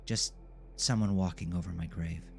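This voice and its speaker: A man's voice: deep voice, steady tone, moderate pace, gravelly, a little mellow. Devoted female special-ed teacher, played by a man, earnest and sincere, music bed, audio drama. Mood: distracted unease. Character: passionate, eager woman special education teacher. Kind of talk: audio drama character dialogue.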